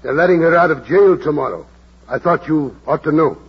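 An actor in a vintage radio drama playing a kindly, hesitant, fatherly older taxi driver with a husky voice and a coaxing manner. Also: on the high side, highs cut off. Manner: slow, hemming, gently coaxing. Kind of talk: 1940s radio drama acting.

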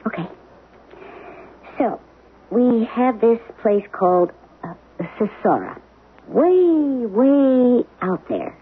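An actress in a 1970s radio drama playing a worried, practical middle-aged wife, coaxing and questioning in plain words. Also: female voice, a little high, lively intonation, slow, pauses often. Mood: curious, doubting. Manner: probing, coaxing, plain-spoken. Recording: tinny, hissy old radio broadcast.

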